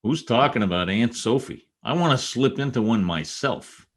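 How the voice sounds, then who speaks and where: A man's voice: medium pitch, expressive, moderate pace, natural voice. A man playing an exasperated, wisecracking newspaper reporter in an old-time radio comedy. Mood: wry, softening. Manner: wisecracking, slangy, indignant.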